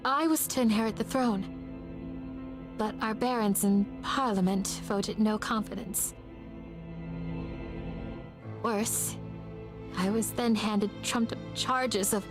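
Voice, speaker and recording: A woman plays a young exiled princess from a visual novel, high-voiced and eager to please. She speaks with genteel formality and earnest openness, over background music.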